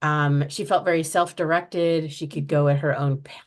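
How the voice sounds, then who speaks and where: A woman's voice: low-pitched, fairly even intonation, normal speed. An American woman speaking earnestly as a podcast guest, often restarting and searching for words. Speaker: guest, project founder. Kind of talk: podcast interview.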